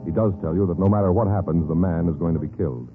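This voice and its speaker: A man's voice: deep voice, quick pace, narrow, tinny audio. An American man announcing a 1950s radio program in a solemn, emphatic, scripted style. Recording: band-limited old radio recording. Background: music.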